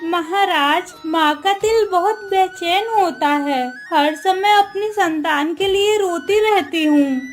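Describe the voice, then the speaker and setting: A woman voicing a gentle, grieving queen in a melodramatic, formal cartoon style. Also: extremely high pitch, slow, few pauses. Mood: sorrowful longing. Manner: sorrowful, formal. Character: grieving, gentle queen longing for her lost daughter. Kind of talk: melodramatic Hindi cartoon dialogue. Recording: music under the voice.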